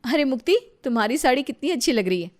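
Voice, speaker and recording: A woman voicing a kind, caring young elder sister-in-law in an animated moral story, gentle and reassuring. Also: sits high, fast, pitched above the performer's own voice.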